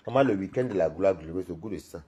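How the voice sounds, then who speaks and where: A man's voice: sits low, fast talker. A man from Cameroon with a Cameroonian French accent, chatting on a live stream in a rambling, gossipy way. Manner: rambling, animated, gossipy, laughs often. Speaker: livestream host. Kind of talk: live-stream chat with viewers.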